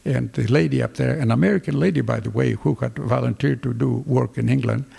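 An older man with a Greek accent, telling his wartime memories in a lively storytelling manner, acting out the dialogue. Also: mid-range voice, fairly quick, gravelly, breathy edge, long reverb tail. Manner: lively storyteller, acts out dialogue with hand gestures. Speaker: veteran fighter pilot. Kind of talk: recounting war memories. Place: office with flags, indoors. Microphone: desk microphones in front.

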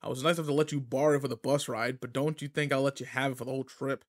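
A man's voice: mid-range voice, steady tone, racing, close to an everyday voice. A man playing a cocky, irritable teenage delinquent in a roleplay audio drama, talking casually and teasingly straight to the listener. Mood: irritated, teasing.

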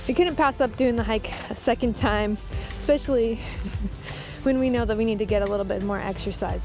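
Woman in her thirties, bubbly and giggly, fairly high voice, outdoor phone vlog, music underneath. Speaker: sailing vlogger. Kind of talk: casual vlog chat. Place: outdoors on a wooded island shore. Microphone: phone at arm's length.

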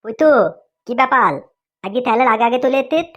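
A woman's voice: sits high, fairly quick, a bit bright. A woman voicing a teasing, practical young classmate in a comic cartoon, with a questioning manner.

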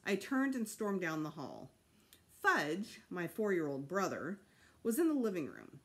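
Woman reading a storybook, lively pitch. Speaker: teacher reading aloud. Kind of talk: expressive children's storybook narration. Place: small room at home.

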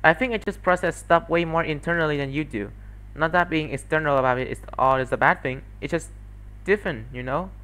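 A man's voice: fairly high pitch, a little fast, fairly bright tone, lightly acted. A man voicing a supportive, easygoing girl companion with a casual, reassuring manner, in an amateur visual novel fandub. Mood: casual, gentle.

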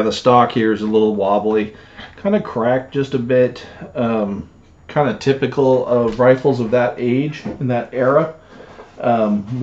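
A man's voice: mid-range voice, lo-fi sound. A man in his forties casually showing items in a home office vlog, rambling with lots of fillers while he handles things on camera. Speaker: storage unit reseller. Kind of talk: casual show-and-tell vlog. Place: small home office, indoors.

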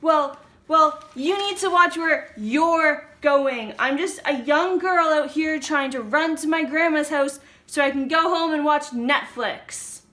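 A flippant teenage fairy-tale girl played by a grown woman, high, bright and whiny. Every line is exaggerated puppet-show drama, in a roomy recording. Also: slow pace, exaggerated voice. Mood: annoyed. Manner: exaggerated, whiny teen delivery.

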